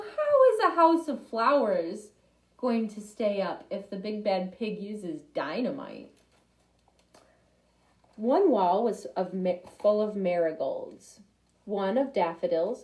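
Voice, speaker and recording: A young woman reading a picture book aloud to children, expressive, with little asides to her listeners. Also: medium pitch, slow, low-quality recording. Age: young adult. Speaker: library storytime reader.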